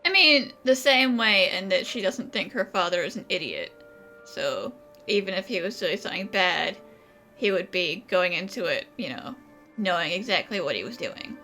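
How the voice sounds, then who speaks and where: A woman's voice: lowish voice, lively intonation, normal speed, pushed and bright, soft background music. A woman commenting casually over a game playthrough with wry asides. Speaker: gaming channel host.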